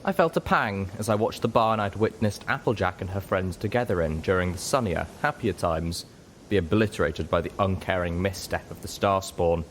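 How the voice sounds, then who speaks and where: Male audiobook narrator, very deep voice, noisy home recording with clicks. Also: moderate pace. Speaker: audiobook reader. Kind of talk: scripted story narration.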